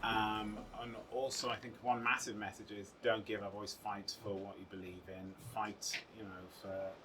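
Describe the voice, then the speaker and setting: A man's voice: lowish voice, level tone, normal speed, noisy background. A man in his mid-thirties giving earnest, emphatic, unscripted interview answers outdoors. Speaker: actor. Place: outdoors on a sea front. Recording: distant mic, music behind.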